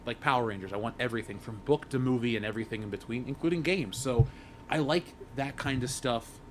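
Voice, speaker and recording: A man giving his opinions casually as a member of a discussion panel. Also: quick pace. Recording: faint rumbling background noise.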